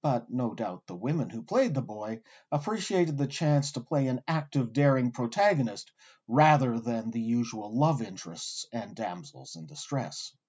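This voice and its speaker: A man hosting with scripted documentary narration, delivered in a wry, articulate storyteller's way with deliberate emphasis. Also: medium pitch, animated, a little mellow, breathy edge, muffled recording. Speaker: host and narrator.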